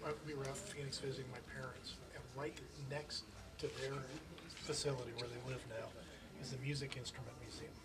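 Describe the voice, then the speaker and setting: A man's voice: medium pitch, flat delivery, a little fast. A man in casual, rambling conversation as a member at a club meeting. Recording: live meeting room, chattering crowd. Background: clear crowd chatter.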